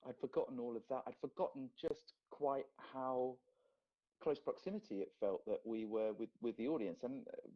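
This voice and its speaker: A man chats casually as an actor guest on a live video call. His voice has an average pitch and he reminisces in a joking way. The livestream audio is a little dull and lo-fi. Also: expressive, normal speed.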